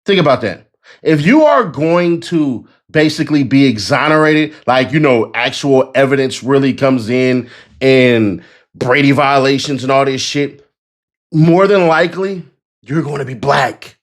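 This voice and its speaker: A man's voice: medium pitch, unhurried. A man hosting a commentary video, talking casually and reading out information to his viewers. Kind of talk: casual commentary, reading out figures and sponsor copy.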